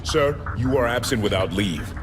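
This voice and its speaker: An actor playing a stern, authoritative armored squad leader in a video game, speaking in clipped orders. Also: male voice, medium pitch, expressive, moderate pace, noisy background, close to an everyday voice. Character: commanding armored male military squad leader, stern, urgent. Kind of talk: video game cutscene acting. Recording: loud music and effects behind.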